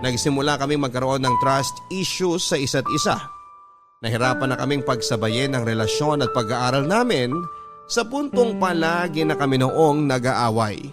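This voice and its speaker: A man's voice: mid-range voice, animated, moderate pace, close to an everyday voice. A man narrating a letter of college heartbreak in scripted radio storytelling style. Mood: reflective, earnest. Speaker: radio drama host reading a letter. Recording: music bed under the voice. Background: background music.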